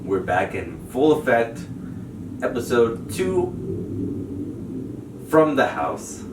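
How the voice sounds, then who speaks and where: A man's voice: mid-range voice, big pitch swings, slow pace. A man co-hosting a podcast in casual chat, rambling and joking and laughing easily. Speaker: podcast co-host. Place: home studio room. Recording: echoey room, mic far off, background noise.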